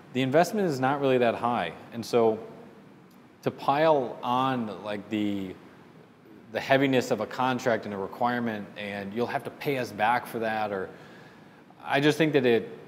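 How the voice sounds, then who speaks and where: A man hosting a question-and-answer show, giving informal, opinionated and explanatory advice off the cuff. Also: moderate pace. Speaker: host answering listener questions on salon business. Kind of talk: casual unscripted business advice. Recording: roomy reverberant hall, low rumbling noise.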